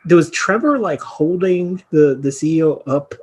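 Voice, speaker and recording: A man's voice: on the high side, expressive, moderate pace, slightly rough. A man on a podcast panel, talking through his thoughts in a winding, reflective way and laughing easily. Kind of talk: casual discussion.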